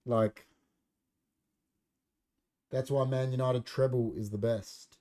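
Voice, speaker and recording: A man's voice: medium pitch, fairly even intonation, slow, warm tone. A young man co-hosting a casual podcast, emphatic and swearing freely as he argues about football. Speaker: podcast co-host. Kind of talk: casual chat.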